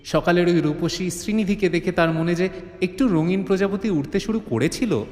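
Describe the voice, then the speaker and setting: A man's voice: sits high, normal speed, a little mellow. A man narrating a scripted horror-comedy story in a theatrical, suspenseful style. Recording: reverberant, faint ambient noise.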